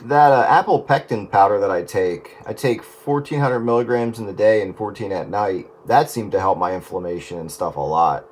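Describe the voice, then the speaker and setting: A man with a slightly low voice rambles through casual radio talk, reworking his sentences midway. There is faint noise on the signal. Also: normal speed, few pauses. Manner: rambling, self-correcting. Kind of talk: casual chat over the radio.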